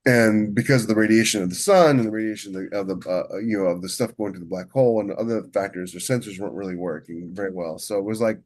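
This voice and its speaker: Guy w a lowish voice chatting on a writing podcast, keeps restarting sentences as he thinks out loud. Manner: halting, thinks aloud with many repeated false starts. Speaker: podcast co-host and science fiction author. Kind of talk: casual chat about book writing.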